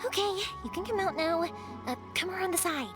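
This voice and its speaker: A woman's voice: very high voice, fairly quick. An actress voicing a cheerful young woman who makes fireworks in a game cutscene, caring and reassuring as she speaks. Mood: upbeat. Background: game music.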